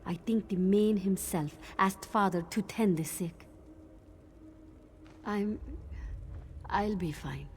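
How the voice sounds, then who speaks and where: A woman playing a young cat-woman mystic in a fantasy game, earnest and reflective, sometimes halting. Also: mid-range voice, a little hiss or hum, natural voice. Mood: subdued, resolved. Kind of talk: dramatic fantasy game acting.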